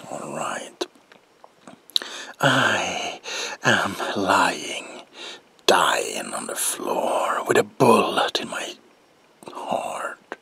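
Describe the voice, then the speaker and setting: A man's voice: sits low, wildly swooping pitch, extremely slow, long pauses, sharp tone, heavily acted. A man playing a gleefully deranged comic-book villain in a whispered ASMR roleplay, with a theatrical drawl and playful menace. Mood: sly, teasing. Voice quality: breathy gravelly whisper.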